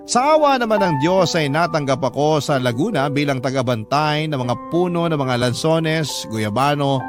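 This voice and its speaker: A man with a Filipino accent narrating a first-person dramatic radio story as the storyteller. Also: medium pitch. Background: music.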